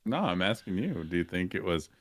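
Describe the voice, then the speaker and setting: A man's voice: low voice, very animated, brisk, slight background noise. A man talking casually as a guest on a gaming podcast. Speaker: podcast guest and roleplay streamer.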